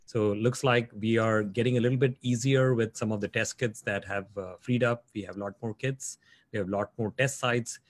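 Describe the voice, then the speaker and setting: Host, man in his forties, low voice, dry close sound, earnest on a video call. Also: fairly even intonation, a little fast, no room sound. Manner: earnest, frequent "you know". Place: home office.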